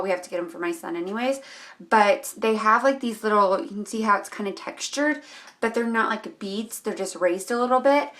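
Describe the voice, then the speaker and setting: A woman's voice: mid-range voice, sharp tone. A young woman who is losing her voice chats her way through a casual makeup tutorial, with lots of ums and likes. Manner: rambling, chatty, many ums and likes. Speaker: beauty vlogger.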